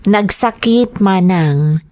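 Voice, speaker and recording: A woman's voice: medium pitch, takes it slowly, darkish tone, close to an everyday voice. A woman playing a devoted, hopeful grown daughter with an Ilocano accent in a family audio drama. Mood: hurt, sorrowful.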